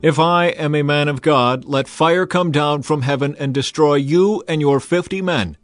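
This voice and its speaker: A man's voice: fairly high pitch, moderate pace, dry recording, faint music behind, pitched above the performer's own voice, lightly acted. A man voicing a stern old male prophet, declaiming his words solemnly in a dramatized scripture reading.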